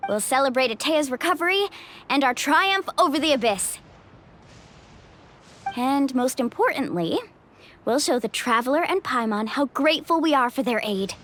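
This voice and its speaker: High-voiced, commanding young female leader. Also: lively intonation, moderate pace, long pauses, a bit bright. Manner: earnest, decisive. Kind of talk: anime game voice acting.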